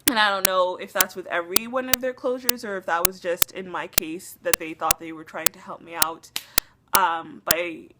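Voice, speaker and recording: A woman's voice: lowish voice, steady tone, normal speed, heavy background noise. Chatty young woman vlogger, slightly sick. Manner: chatty, many fillers and restarts. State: slightly sick, losing her voice. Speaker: beauty vlogger. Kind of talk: casual rambling hair review.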